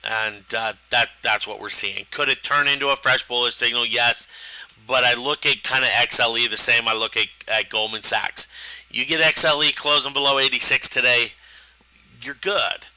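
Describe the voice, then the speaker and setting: A man with a rough, hoarse voice hosting a live stock trading stream, talking in a halting way with many fillers and restarts. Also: mid-range voice, fairly even intonation, sharp tone, no room sound, rough recording. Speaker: stock trading show host. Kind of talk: live market commentary.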